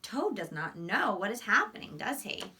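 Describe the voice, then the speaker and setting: A woman teacher talking to young children in an expressive, explanatory way, often checking in with short questions. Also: mid-range voice, moderate pace, distant mic. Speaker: elementary school teacher. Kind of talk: read-aloud and lesson for young children.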